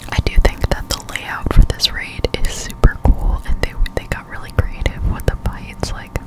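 Whispering young woman, close up. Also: rough recording. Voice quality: breathy unvoiced whisper. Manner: casual, slangy, unhurried chat. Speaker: gaming ASMR streamer. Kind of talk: whispered ASMR game commentary. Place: indoor room at home. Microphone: close-up Blue Yeti microphone.

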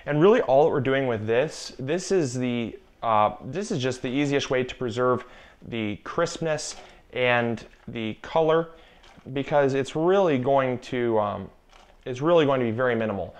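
A man's voice: medium pitch, normal speed. A young man in his twenties, chatty and instructive, talking through a canning demonstration in a home kitchen on a lapel mic. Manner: chatty, instructive, repeats key warnings. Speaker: gardening channel host. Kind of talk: casual cooking demonstration.

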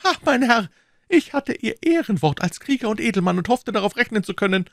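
Man putting on an anxious young woman, very high. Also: sing-song, fairly quick, slightly rough, no echo, clean studio sound, heavily acted. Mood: reproachful. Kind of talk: audiobook character acting.

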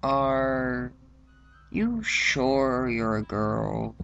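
Man acting as blunt young adventurer, slow delivery, needling, comedy radio play, faint music. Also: medium pitch, animated, gravelly, a bit bright, muffled recording, exaggerated voice. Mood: teasing. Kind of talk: amateur comedy radio drama.

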